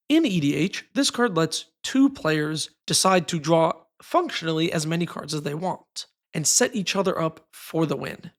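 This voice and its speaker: A man with a sick, raspy voice, giving a scripted, casually opinionated talk about a card game. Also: a little high. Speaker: card game content creator. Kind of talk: scripted video essay.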